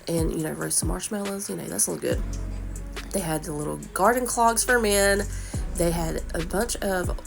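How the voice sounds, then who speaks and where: A woman in her thirties talks through a shopping haul in an easy, chatty voice-over, her voice a little stuffy from a cold. Her tone sits low and carries a gravelly edge. Bright background music plays clearly under her voice. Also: moderate pace. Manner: chatty, upbeat product chatter. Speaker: vlogger. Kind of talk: casual shop-with-me voice-over.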